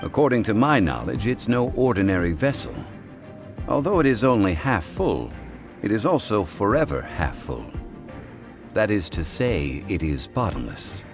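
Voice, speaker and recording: An actor voicing a calm, wise, ancient male consultant, speaking in a measured, formal way. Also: fairly low pitch. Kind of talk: video game voice acting. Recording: game audio with loud music.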